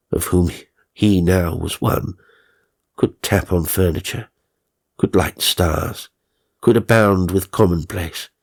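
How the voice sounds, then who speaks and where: A man narrating a classic ghost story in a measured, dramatic manner. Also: sits low, darkish tone.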